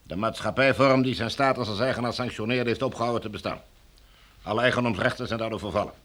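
Low-voiced, officious military commander played by a man. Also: fast, fairly bright tone, close to an everyday voice. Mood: officious, imperious. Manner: formal, officious, bureaucratic. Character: smooth, domineering military sector commander, formal and coldly menacing. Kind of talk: radio drama acting.

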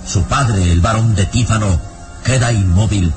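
A man's voice: on the low side, natural voice. A man with a Mexican accent narrating a gothic radio serial in a solemn, theatrical manner. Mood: solemn, dramatic. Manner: slow-phrased, solemn, theatrical. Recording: old radio serial with background music.

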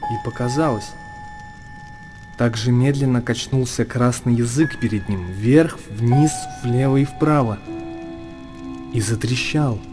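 A man giving a dramatic literary narration for radio, solemn and deliberate, breaking the text into short phrases. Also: mid-range voice, music in the background. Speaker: narrator reading literary prose.

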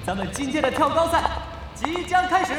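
A man's voice: extremely high pitch, expressive, brisk. An actor playing an upbeat young male esports event host, announcing to a hall in an audio drama. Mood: upbeat, showy. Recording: echoey hall announcer sound, music underneath. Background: music.

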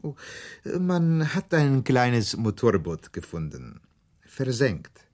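An actor playing an older Italian police officer, polite and probing, in a radio drama. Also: male voice, medium pitch, wildly swooping pitch, takes it slowly, a little mellow. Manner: inquisitive, formal.

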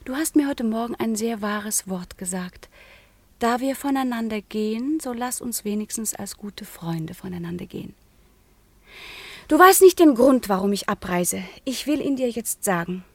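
A proud young noblewoman in a radio drama. Her voice is fairly high and dryly recorded, earnest and composed as she probes. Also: animated, normal speed, no room sound. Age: young adult. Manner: probing, composed, direct. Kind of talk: theatrical radio play acting.

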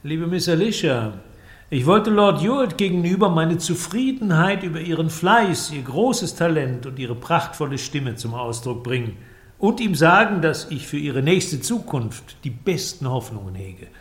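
A man playing a genial, secretive inventor in a German audio drama, speaking in a courteous, measured way. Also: on the high side, lively intonation, roomy sound. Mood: smoothly flattering.

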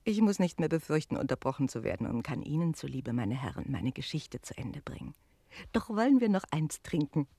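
An actress playing a worldly, teasing innkeeper's wife who tells stories expressively and confidingly in a German radio play. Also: female voice, deep voice, very animated, brisk, creaky, mellow, breathy edge, no room sound, exaggerated voice. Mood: relieved, convivial.

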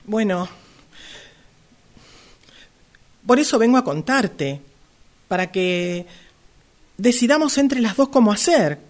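A woman's voice: medium pitch, expressive, measured pace, natural voice. An Argentine woman playing a hesitant sister in love, a longtime caregiver, in an amateur radio drama. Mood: pleading, insistent.

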